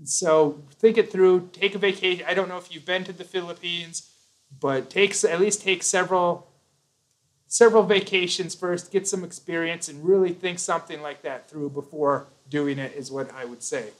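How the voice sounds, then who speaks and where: A talkative man hosting a live stream, reading viewer questions and answering at length. His voice sits a touch high for a man. He jokes, corrects himself mid-sentence, and keeps things casual. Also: fairly even intonation, moderate pace. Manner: chatty, rambling, self-correcting, jokey.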